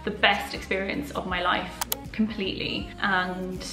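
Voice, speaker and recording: A woman's voice: mid-range voice, little pitch movement, measured pace, echoey room, over music, rough recording. A young woman whose first language is Polish, answering viewer questions in a casual, open vlog from her living room. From Poland. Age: young adult. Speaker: personal finance YouTuber. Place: living room at home, indoors.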